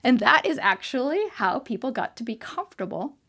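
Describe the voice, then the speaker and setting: A woman explaining technology as an interview guest, animated and talkative with frequent fillers and restarts. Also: a little high, fairly quick, breathy edge. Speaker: tech expert guest. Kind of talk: casual interview chat. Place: trade show floor.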